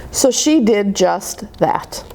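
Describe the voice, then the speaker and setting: Slow, animated woman reading a children's story. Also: medium pitch, background noise. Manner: expressive, deliberate, drawn-out delivery for young children. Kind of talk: read-aloud picture book storytelling.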